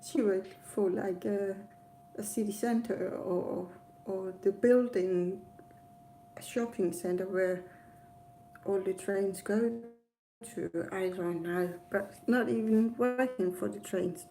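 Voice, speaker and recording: A woman with a Danish accent, a native Danish speaker, telling a personal travel story casually in a hesitant, rambling way with frequent pauses. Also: medium pitch, dark tone, faint music behind, poor audio. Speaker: travel vlogger.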